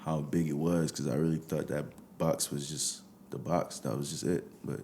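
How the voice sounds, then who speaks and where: A man's voice: very deep voice, little pitch movement, moderate pace, mellow, slight background noise. A young Nigerian man with a Nigerian accent, talking casually as a musician guest in an interview.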